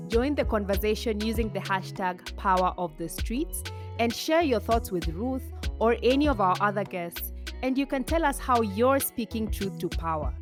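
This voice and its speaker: A woman hosting a podcast, asking measured, deliberate questions and reading a scripted outro. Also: mid-range voice. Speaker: podcast host. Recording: music bed behind voice.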